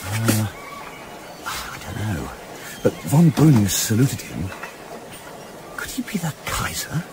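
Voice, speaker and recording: A man's voice: lowish voice, wildly swooping pitch, slow, background noise, heavily acted. A man playing a keen, plain-spoken Edwardian yachtsman turned loyal amateur sleuth in a radio play, talking earnestly. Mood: hushed, watchful.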